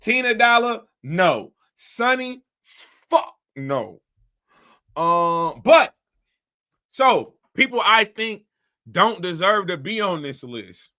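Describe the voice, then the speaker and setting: Male host, high bright voice, blunt rambling commentary. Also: sing-song, slow pace, long pauses. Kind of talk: casual commentary.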